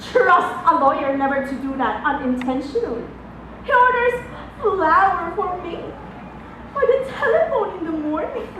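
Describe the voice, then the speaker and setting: In a very echoey, noisy auditorium, heard from far off, a woman plays a self-assured, worldly actress in a stage play. The voice is very high and takes its time, grand and teasing. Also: a bit bright, heavily acted. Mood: knowing, condescending. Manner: grand, deliberate, teasing, with dramatic pauses. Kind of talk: theatrical one-act stage play acting. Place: large auditorium stage, distant microphone.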